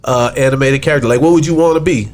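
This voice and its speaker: A man's voice: mid-range voice, quick pace, darkish tone, a little hiss or hum. A man hosting a casual talk show chat, slangy, often repeating himself as he agrees or asks questions.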